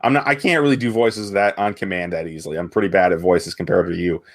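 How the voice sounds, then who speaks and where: A man's voice: deep voice, steady tone, fast talker. A man co-hosting a podcast, talking casually and thoughtfully as he works through examples. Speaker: podcast co-host.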